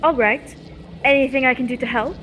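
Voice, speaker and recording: A woman's voice: sits high, fairly even intonation, brisk, a bit bright. A young woman playing a curious, admiring assistant to a scientist in an old-time radio serial. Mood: eager. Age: teenager or young adult. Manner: inquisitive, eager. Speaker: student actor. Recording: faint background noise.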